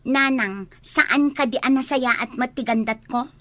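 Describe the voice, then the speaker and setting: A woman's voice: high-pitched, measured pace, sharp tone, no room sound. A young woman playing a whiny, ambitious daughter in an Ilocano-accented radio drama. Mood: whiny, defiant.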